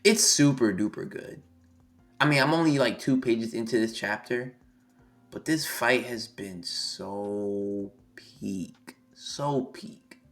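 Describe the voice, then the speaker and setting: A hoarse young man on a live stream, reading a manga chapter aloud and reacting excitably, swearing freely. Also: mid-range voice, slow pace. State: hoarse, losing his voice. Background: faint music.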